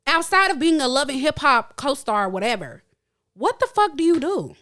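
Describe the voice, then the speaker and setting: A woman's voice: high-pitched, sing-song, normal speed, sharp tone. Sassy, blunt podcast woman. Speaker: podcast co-host. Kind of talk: casual chat.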